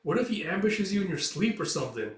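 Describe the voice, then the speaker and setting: Man doing eager, higher-pitched Viking underling. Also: very animated, quick pace. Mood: curious. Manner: eager, questioning. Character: curious, admiring junior male Viking crewman. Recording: echoey room, distant from mic.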